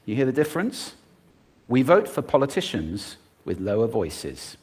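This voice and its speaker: Brief: a male stage speaker with a lively, swooping delivery who demonstrates his techniques. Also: medium pitch, normal speed, slightly rough. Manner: expressive, demonstrating vocal techniques. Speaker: public speaker. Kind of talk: prepared talk on stage.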